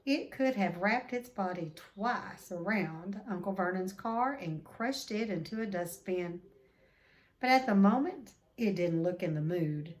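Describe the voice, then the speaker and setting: A woman reading a children's book aloud expressively, with deliberate dramatic emphasis. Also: medium pitch, lively intonation. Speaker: read-aloud storyteller.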